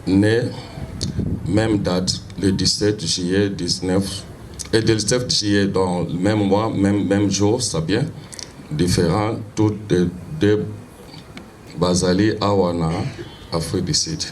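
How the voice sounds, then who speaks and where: Male funeral speaker, measured delivery, low pitch, noisy outdoor recording. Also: fairly even intonation. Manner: slow, measured, formal reading. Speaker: funeral master of ceremonies. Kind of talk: reading a biography at a funeral.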